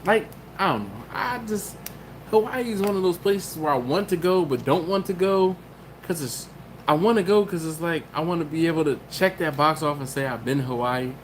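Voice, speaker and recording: A man's voice: on the high side, expressive, moderate pace, slight background noise. Chatty man hosting a livestream. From Maryland, US. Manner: rambling, laughs often, self-interrupting. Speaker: livestream host reading chat. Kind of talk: casual live chat.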